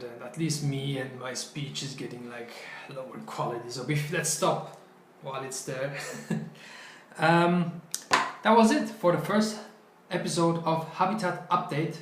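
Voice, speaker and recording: A young man whose first language is not English, co-hosting a casual podcast chat in a loose, halting way with many restarts. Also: fairly high pitch, reverberant, noisy background. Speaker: co-host. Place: small bright office room.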